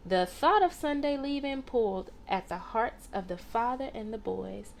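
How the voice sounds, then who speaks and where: Higher-voiced woman reading a storybook. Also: expressive, normal speed, gravelly, slight background noise. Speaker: storybook reader. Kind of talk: expressive children's read-aloud narration.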